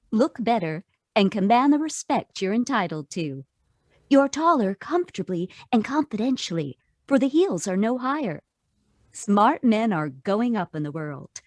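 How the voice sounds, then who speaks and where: A woman's voice: mid-range voice, dry recording, clean studio sound. A woman reading a scripted vintage radio advertisement with a persuasive sales delivery. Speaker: commercial announcer. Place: remote video call performance.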